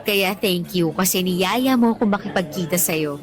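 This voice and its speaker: A woman's voice: on the low side, normal speed, a crowd in the background, close to an everyday voice. A woman acting as a candid, talkative teacher and single mother on a date in a Tagalog radio drama. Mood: chatty, open. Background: background music.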